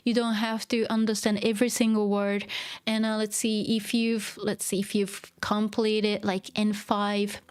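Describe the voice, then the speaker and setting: A woman's voice: medium pitch, flat delivery, measured pace, smooth. A Japanese woman teaching her native language in English on a casual livestream, chatty and encouraging, with many fillers. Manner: chatty, full of "you know" and "um", encouraging. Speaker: Japanese teacher streaming a manga reading.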